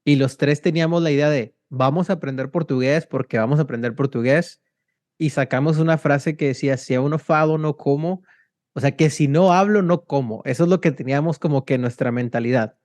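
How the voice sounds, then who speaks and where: A young man from Mexico, hosting a casual interview and telling stories in a slangy, animated way. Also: a little high, brisk, no room sound. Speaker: podcast host.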